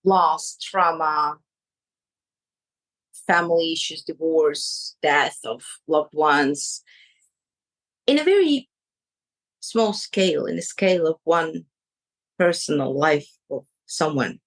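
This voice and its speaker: A woman with a Ukrainian accent, a coach speaking in an interview, choosing her words slowly with many pauses. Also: low voice, expressive, low-quality recording. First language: Ukrainian or Russian. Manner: slow and searching, pausing between words. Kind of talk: reflective interview answers.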